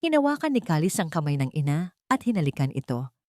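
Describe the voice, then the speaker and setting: Low-voiced woman narrating a dramatic story. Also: sing-song, fast, darkish tone, no echo, clean studio sound.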